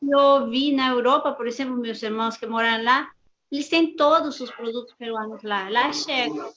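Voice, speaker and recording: A fairly high-voiced woman speaking with a heavy Peruvian Spanish accent, her native tongue plain in every word. She talks at an easy pace, pausing and restarting phrases as she thinks aloud, and the recording sounds dull and lo-fi. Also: a bit bright. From Peru. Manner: unhurried, halting with restarts and repeated words. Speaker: guest. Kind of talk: casual interview chat.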